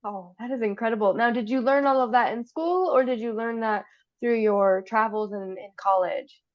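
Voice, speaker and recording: A woman's voice: fairly high pitch, moderate pace, muffled recording. A woman hosting an interview, listening closely and asking follow-up questions with frequent short affirmations. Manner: attentive, frequent short backchannel replies. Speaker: podcast host. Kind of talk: interviewing a guest.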